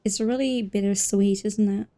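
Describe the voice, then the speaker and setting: Mellow woman streamer, casual chat. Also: medium pitch, steady tone, normal speed. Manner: informal, musing aloud. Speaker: livestreamer. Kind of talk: casual commentary on a game. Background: game music.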